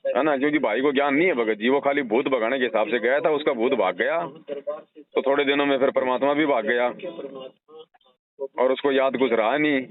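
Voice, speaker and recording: A man's voice: medium pitch, moderate pace. A man giving earnest, explanatory religious advice to a devotee, using everyday analogies. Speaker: religious counsellor advising a devotee. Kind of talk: devotional advice on a phone call. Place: phone call. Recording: narrow, tinny phone-line recording.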